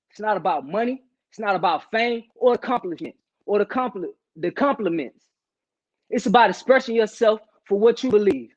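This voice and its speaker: A grieving, earnest man played by a male actor delivers an emphatic dramatic monologue. His voice is notably high for a man, and the online recording sounds slightly dull. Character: grieving, impassioned man mourning a friend's lost ambition. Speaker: auditioning actor.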